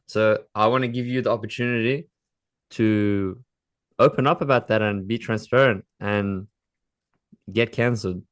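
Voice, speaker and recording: Aussie guy hosting a podcast, lowish voice, prodding and teasing. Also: steady tone, normal speed. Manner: probing, teasing questioner. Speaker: podcast co-host. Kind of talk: casual podcast chat.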